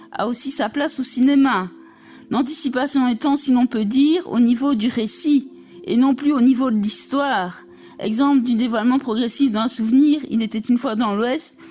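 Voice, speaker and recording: A woman reading a scholarly text aloud in a formal, measured way. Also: a little high, darkish tone. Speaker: reader of an academic text.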